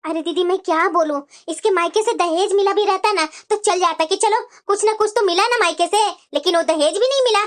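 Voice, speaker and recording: Casting: woman to play a very high-voiced cartoon mother-in-law, middle-aged, bitter, bossy, forever complaining. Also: fairly quick, few pauses. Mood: grumbling, aggrieved. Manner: scolding, complaining, dramatic. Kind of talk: Hindi family cartoon dialogue.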